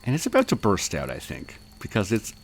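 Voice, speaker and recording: Low-voiced guy riffing deadpan in a comedy improv podcast, lotsa pitch swings. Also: a little fast, a little hiss or hum. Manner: deadpan, running gag delivery.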